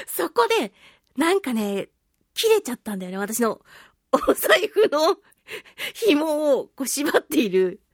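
A woman's voice: sits high, slow, no echo, studio quality. A woman hosting a talk show, telling a personal anecdote in a casual, playful and chatty way. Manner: animated, chatty storyteller with playful self-mockery. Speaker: host of a talk radio show, voice actress.

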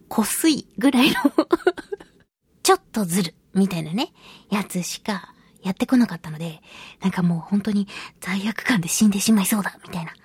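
A woman's voice: mid-range voice, darkish tone, slightly rough, dry recording. A woman hosting a radio talk show, chatting casually about her own life in a self-deprecating, giggly, storytelling way. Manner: acts out little quoted remarks while storytelling. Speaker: voice actress. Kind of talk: casual solo radio chat, telling personal anecdotes.

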